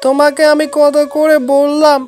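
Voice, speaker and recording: A woman as a high-voiced, pleading village boy. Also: monotone, normal speed, lightly acted. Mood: sulky. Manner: pleading, petulant. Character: whiny, stubborn, eager young village boy. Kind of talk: amateur cartoon voice acting. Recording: loud music behind the voice.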